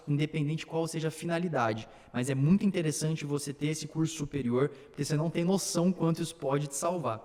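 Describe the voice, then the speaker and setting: A young man whose first language is Slovak talks through his life story in earnest detail, his voice sitting a little high for a man. The recording carries a long, hall-like reverb. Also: darkish tone. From born in São Paulo, raised in Slovakia and Brazil. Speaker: city council candidate. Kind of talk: casual interview, telling his life story.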